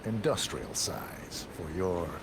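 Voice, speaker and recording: Deep-voiced deadpan tour guide. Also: male voice, creaky, background noise, full character voice. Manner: deadpan, measured, formal. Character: composed male winery tour guide with sinister dry humour. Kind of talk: scripted video game dialogue. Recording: loud machinery and ambience behind.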